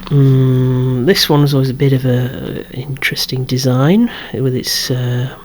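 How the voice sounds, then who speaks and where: A person whispering an ASMR show-and-tell of a collection in a hushed, breathy, unhurried way, musing aloud with wry asides. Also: mid-range voice, steady tone, a little hiss or hum. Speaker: toy collector presenting a collection.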